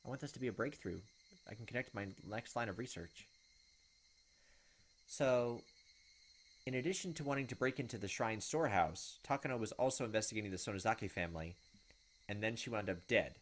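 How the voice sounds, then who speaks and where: A man's voice: lowish voice, a little fast, long pauses. A young man hosting a gaming video, reading game text aloud and adding casual commentary.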